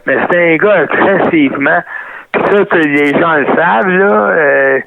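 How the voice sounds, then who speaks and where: An older man with a Québécois French accent reminisces in a halting, rambling way, stopping and restarting often. His voice is gravelly and sits a bit high for a man. It comes through a tinny, dry phone line. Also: no echo. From Canada. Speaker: guest, retired wrestler. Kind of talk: interview reminiscing.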